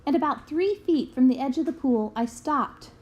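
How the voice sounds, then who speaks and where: A woman with a voice on the high side reads a story aloud. Her delivery is expressive storytelling, made for young listeners following a book. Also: normal speed. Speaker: book reader. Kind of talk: expressive read-aloud of a children's memoir.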